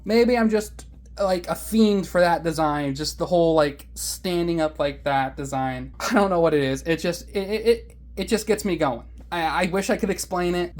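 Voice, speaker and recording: A woman with a somewhat low-set voice talks through a hobby review in a loose, rambling vlog style. She is animated and enthusiastic, with playful grumbling mixed into her casual delivery. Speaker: hobbyist reviewer of weather radios.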